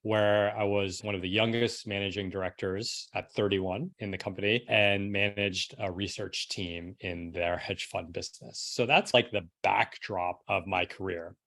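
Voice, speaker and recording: A man's voice: lowish voice, steady tone, moderate pace, gravelly, hardly any pauses, a bit bright. A middle-aged man from New York City telling his own story as a podcast guest in a casual, conversational way. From New York City, United States. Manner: casual, frequent "like" and rhetorical "right?".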